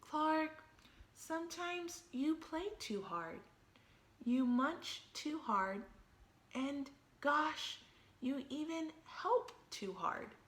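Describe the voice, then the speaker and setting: Woman playing a storybook fish teacher, high voice, patient, measured, gently scolding, echoey room. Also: sing-song, full character voice. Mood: gently firm. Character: patient female fish schoolteacher, gently correcting.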